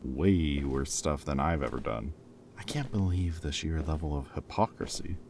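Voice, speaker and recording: A man's voice: very deep voice, normal speed, vocal fry, background noise. A man playing a former villain in an audio roleplay, a playful, loyal friend who talks in a casual, wry, bantering and hesitant way, as if in conversation. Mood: cautious, serious.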